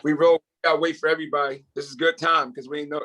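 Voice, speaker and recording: A man's voice: a little high, steady tone, fast talker, bright, forceful tone. A man chatting casually on a video call, very colloquial and often checking that the listener follows him. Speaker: table read participant. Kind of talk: casual meeting chat.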